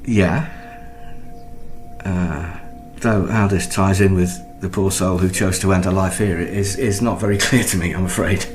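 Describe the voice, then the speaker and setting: A man's voice: low-pitched, fairly even intonation, unhurried, over music. An actor playing an anxious, defensive married man in a naturalistic radio thriller, speaking hesitantly. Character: anxious, defensive married man, expectant father and new homeowner.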